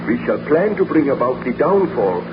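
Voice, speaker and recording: A guilt-ridden, fearful baron played by a male actor speaks formally, with sweeping melodramatic intonation. It is classic 1930s radio drama, on a muffled recording with clear noise. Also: mid-range voice, big pitch swings, normal speed, natural voice. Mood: anguished. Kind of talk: 1930s radio drama acting, narration and dialogue.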